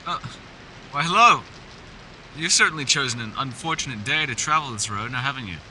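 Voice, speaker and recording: A man's voice: medium pitch, lively intonation, a little fast, pushed and bright, a little hiss or hum, rough recording. A man voicing a mysterious, playful elf scholar in a fantasy ASMR roleplay, speaking in genteel, theatrical, formal phrases. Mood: welcoming, courteous. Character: mysterious, courteous, playful male elf scholar living alone. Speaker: voice actor. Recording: rain, thunder and storm ambience behind. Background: rain and thunder sound effects.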